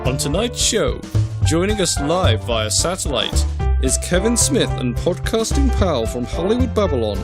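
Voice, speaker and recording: A man's voice: fairly high pitch, big pitch swings, normal speed, loud music behind the voice. A man playing an upbeat chat-show announcer in an audio drama, giving grand showbiz introductions. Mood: showy.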